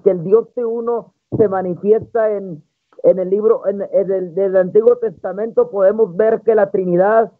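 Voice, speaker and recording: A man gives an informal theological talk as a preacher, halting and repeating phrases, over a tinny live stream. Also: high voice, no room sound. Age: 20s. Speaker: preacher hosting a live church broadcast.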